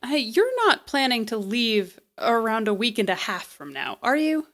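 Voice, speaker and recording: A woman's voice: fairly high pitch, big pitch swings, normal speed, fairly bright tone. A woman in an audio drama playing a stubborn, flippant, loyal repairperson with a casual, wry, defiant way of talking. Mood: wry, unbothered.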